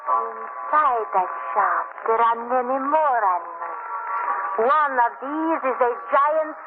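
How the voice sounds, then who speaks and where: An actress playing a sly, greedy woman fortune teller in an old-time radio drama, theatrical and mysterious with halting phrasing. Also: on the high side, lively intonation. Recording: tinny, noisy old radio recording.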